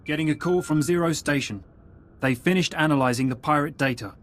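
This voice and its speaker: An actress playing a brisk, businesslike crew member in a video game, speaking matter-of-factly. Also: female voice, sits low, natural voice. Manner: matter-of-fact.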